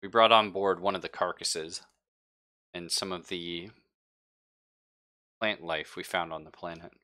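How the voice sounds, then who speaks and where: A man playing a ship's android who gives measured, factual reports in a tabletop roleplaying game. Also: sits low, steady tone, bright, forceful tone.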